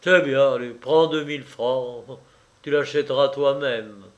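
A man reading aloud and voicing a passionate young lover who pleads and declaims in a slow storybook reading. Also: medium pitch, steady tone, highs cut off, lightly acted. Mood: resigned.

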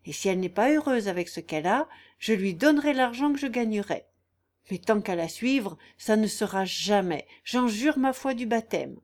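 A woman voicing a humble young peasant woman in a story, speaking plainly with gentle firmness. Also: medium pitch, lively intonation, normal speed, close to an everyday voice. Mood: earnest resolve. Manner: plain, firm, gently resolute.

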